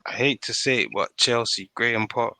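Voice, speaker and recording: A man's voice: mid-range voice, a little fast, bright, forceful tone. A man giving casual, colloquial football opinions as a podcast guest.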